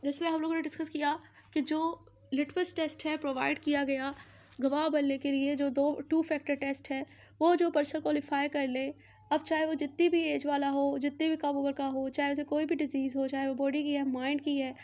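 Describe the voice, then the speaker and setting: A woman's voice: high voice, little pitch movement, moderate pace, a little mellow. A woman with a Pakistani accent giving an explanatory law lecture, repeating points and mixing in English legal terms. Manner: repetitive, uses English legal terms mixed in.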